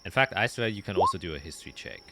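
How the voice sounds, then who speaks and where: A man narrating a tabletop roleplaying game as game master, explaining in a halting way and often rephrasing. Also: low voice, big pitch swings, heavy background noise.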